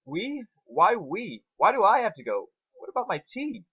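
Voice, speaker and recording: A man's voice: high voice, big pitch swings, moderate pace, breathy edge, dry recording, pitched above the performer's own voice, heavily acted. A long-suffering young male dragon as a man reads him, explaining and complaining in wordy, weary fashion. The intonation moves around a lot. The story is recorded close and dry, a bit lo-fi. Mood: put-upon. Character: put-upon, deadpan young male dragon sidekick.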